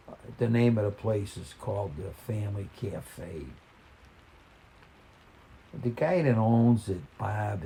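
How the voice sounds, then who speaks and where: A man reading a folksy first-person story in a halting, conversational way. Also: deep voice, vocal fry, warm tone, a little hiss or hum.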